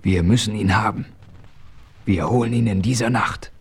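A man playing the calm, authoritative hero who narrates in the first person, in a radio play. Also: lowish voice, moderate pace, gravelly.

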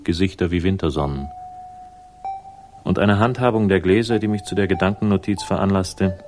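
A man's voice: sits low, normal speed, darkish tone, lightly acted. An actor playing a laconic, ironic investigator who narrates his case in a 1960s German crime radio drama. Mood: dry, wry. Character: wry, hard-boiled male investigator narrating his case. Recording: music behind the voice.

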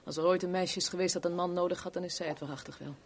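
A woman's voice: lowish voice, steady tone, rapid-fire. An actress playing a worldly, sardonic kept woman in a 1970s radio play, with a wry, teasing, blunt way of talking. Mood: cynical, frank.

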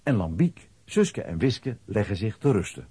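Male narrator, very raspy, mellow, children's adventure storytelling, animated. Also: on the low side, moderate pace, no room sound. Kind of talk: scripted storytelling narration for children's audio drama.

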